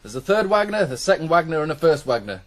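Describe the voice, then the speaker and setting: An actor playing a blunt, unwelcoming farmhand, matter-of-fact in a radio drama. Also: male voice, a little high, very animated, fast talker. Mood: offhand.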